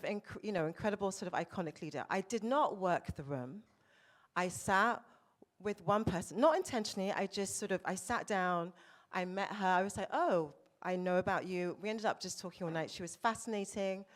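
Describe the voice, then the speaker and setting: A woman panelist sharing anecdotes and advice in a conversational way at a festival panel discussion. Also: medium pitch. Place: lounge at a festival panel event.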